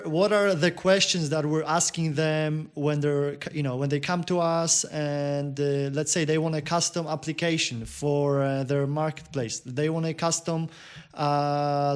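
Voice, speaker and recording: A man's voice: fairly high pitch, clear, resonant tone. A man hosting an interview, asking long, meandering questions. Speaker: interview host.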